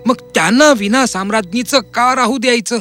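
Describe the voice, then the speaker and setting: Scheming, high-pitched palace courtier. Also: male voice, fairly quick, bright, forceful tone, soft background music. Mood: fawning, wheedling. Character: scheming, fawning male palace courtier greedy for power. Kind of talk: animated fairy tale character acting.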